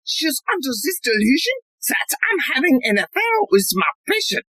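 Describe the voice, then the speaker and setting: A woman voicing a flamboyant, henpecked male psychoanalyst in a farcical audio play, with a shrill, melodramatic manner. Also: sing-song, normal speed, a bit bright, dry recording. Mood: pompous, eager.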